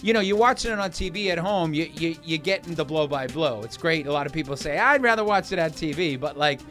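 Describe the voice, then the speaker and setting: A man speaks conversationally in an interview, musing aloud. His voice is a little high, with faint music behind it. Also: lively intonation, fast, a bit bright. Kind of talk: documentary interview.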